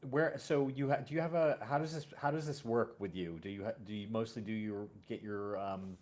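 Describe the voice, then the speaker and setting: Guy with a lowish voice hosting a laid-back interview, halting, keeps rewording stuff as he goes. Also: fairly even intonation, darkish tone. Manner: halting, rephrases mid-sentence. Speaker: host. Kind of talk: casual interview chat.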